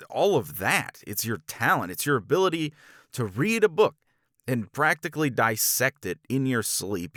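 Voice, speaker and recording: A man acting a jealous, frustrated academic in an intimate romance audio roleplay, speaking in a halting, intense manner. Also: mid-range voice, fairly bright tone, no room sound, clean studio sound. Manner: halting, intense, slipping into breathy near-whispers. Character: jealous, frustrated male academic confessing to his assistant.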